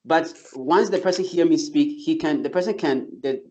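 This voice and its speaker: A man's voice: a little high, moderate pace, a little mellow. A man who teaches English, explaining his tips step by step in casual talk, often restarting his sentences. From not from Turkey. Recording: slightly dull lo-fi sound.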